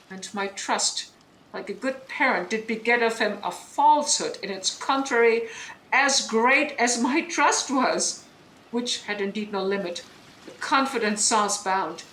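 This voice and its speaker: A woman's voice: medium pitch, fairly bright tone, natural voice. A woman playing a bitter, scholarly deposed duchess and sorceress mother in Shakespearean audio drama, speaking in a declamatory, emphatic way that demands attention. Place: indoor room, recorded over internet link. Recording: roomy remote recording, noise.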